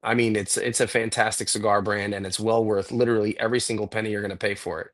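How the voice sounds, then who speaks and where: A man co-hosting a podcast, a little hoarse and congested from a cold, rambling enthusiastically in casual chat with lots of fillers. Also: on the low side, level tone, quick pace, a bit bright. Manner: rambling, enthusiastic cigar talk with many fillers. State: getting over a cold, a bit hoarse and congested. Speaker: podcast co-host.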